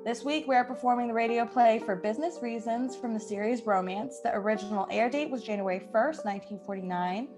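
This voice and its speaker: Woman podcast host with a highish voice. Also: fairly quick, few pauses, music in the background. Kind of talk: scripted podcast introduction.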